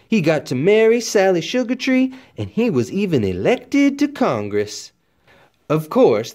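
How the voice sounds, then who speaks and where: A man's voice: high voice, darkish tone. A man narrating a children's storybook expressively, with folksy, dramatic emphasis like a teacher reading aloud.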